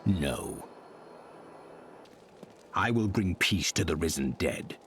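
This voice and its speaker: A man's voice: deep voice, expressive, slow, a bit bright, a little hiss or hum, exaggerated voice. An actor playing a stern, stoic male warrior hero in a fantasy game, speaking in terse, solemn, formal phrases. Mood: stern, resolute. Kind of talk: dramatic fantasy game acting.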